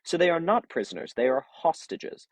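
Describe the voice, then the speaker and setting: A man hosts a heated online debate, pressing the same question again and again while politely saying sorry when he cuts in. His voice is slightly high and lively in pitch. The recording is dry and close. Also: a little fast, no room sound. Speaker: show host. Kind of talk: heated live-stream debate.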